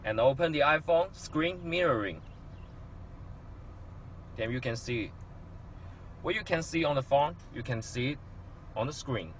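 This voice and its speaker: A man's voice: medium pitch, lively intonation, a little slow, pauses often, a little hiss or hum. A man giving a scripted product demonstration with non-native English phrasing.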